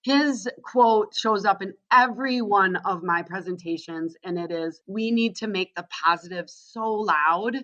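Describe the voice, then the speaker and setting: A woman's voice: medium pitch, unhurried, few pauses, a bit bright, dull audio. A woman with a Wisconsin accent, a guest on a podcast interview, talking energetically and enthusiastically and tagging her points with "right?". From Wisconsin, USA. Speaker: guest, school social media trainer.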